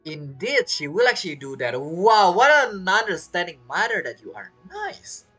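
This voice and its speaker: A woman reacts to a story game, chatty and laughing often in broken English. Her voice is fairly low yet swings widely in pitch. Faint game music plays underneath. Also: sing-song, moderate pace, a bit bright, slightly rough. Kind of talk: casual reaction commentary.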